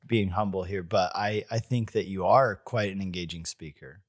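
A man's voice: low-pitched, normal speed, no echo, studio quality. A man interviewing a pastor, asking questions in a friendly, chatty way with some restarts. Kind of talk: interview conversation.

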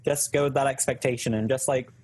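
A young man shares advice in an unhurried, thoughtful way on a live stream. His voice sits at a mid pitch, and he gently teases the others in between. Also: fairly even intonation, husky, low-quality recording. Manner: teasing, measured, thoughtful. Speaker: guest on live Q&A. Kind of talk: casual live-stream chat.

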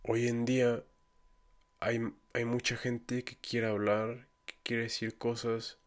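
A man's voice: mid-range voice, flat delivery, takes it slowly. A young man from Mexico with a Mexican Spanish accent, hosting his own podcast in a casual, rambling monologue full of fillers and restarts. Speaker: podcast host.

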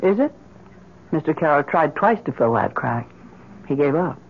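Uneasy housewife with swooping pitch. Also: female voice, expressive, moderate pace, husky, full character voice. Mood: brooding, ominous. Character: uneasy, brooding housewife obsessed and fearful. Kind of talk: old-time radio drama acting. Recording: noisy, muffled old radio recording.

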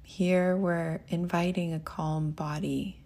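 A woman meditation teacher leading a guided meditation in a gentle, soothing, unhurried way. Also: fairly low pitch, level tone.

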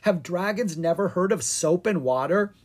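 A reader voicing a crusty, grumpy old male wizard in a storybook who snarls and shouts spells. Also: mid-range voice, lively intonation, normal speed, pitched above the performer's own voice, close to an everyday voice. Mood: snarling.

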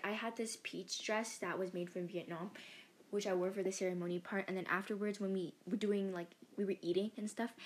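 Chatty teenage girl podcaster. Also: medium pitch. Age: teens. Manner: chatty, self-mocking, giggly. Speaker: podcast host. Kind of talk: casual chat.

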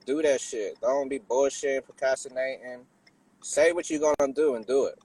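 A man from London chatting casually as an interview guest, laid-back, slangy and quick to swear. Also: mid-range voice, a little mellow, breathy edge, no room sound, poor audio. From Southeast London. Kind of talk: casual chat.

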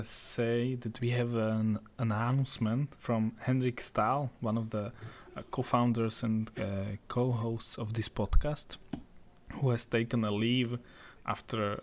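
A man co-hosting a podcast, chatting casually with many pauses and restarts. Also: mid-range voice. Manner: hesitant, many fillers and restarts. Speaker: podcast co-host.

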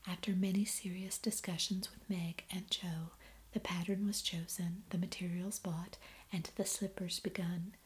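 A woman whispering her way through a classic novel, reading as narrator in a calm ASMR style. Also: fairly low pitch, moderate pace, poor audio.

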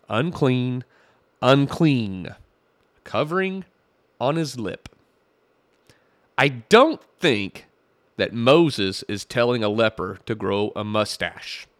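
A man's voice: mid-range voice, a bit bright, dry recording. A man hosting a podcast, talking through a sermon clip in a conversational way and reading Bible verses aloud. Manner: conversational, reads scripture aloud, wry chuckles. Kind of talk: critical commentary on a played clip.

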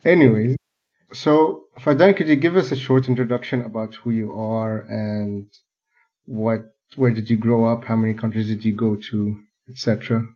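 A young man hosting a podcast interview in a relaxed, playful, unhurried way. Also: lowish voice. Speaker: podcast host. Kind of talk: casual interview. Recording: dull lo-fi audio with faint music.

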